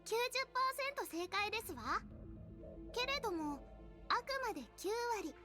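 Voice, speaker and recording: A woman's voice: extremely high pitch, sing-song, quick pace. A woman voicing a haughty, girlish game overseer in a visual novel, speaking in a formal, ladylike and condescending way. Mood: prim, condescending. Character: prim, haughty, high-voiced girlish female game overseer. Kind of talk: Japanese visual novel voice acting. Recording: music behind the voice.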